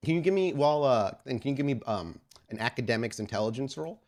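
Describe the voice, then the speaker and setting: A man improvising narration as game master of a tabletop game, with a halting delivery full of repeated words. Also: medium pitch, expressive, darkish tone. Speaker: game master of an actual play podcast.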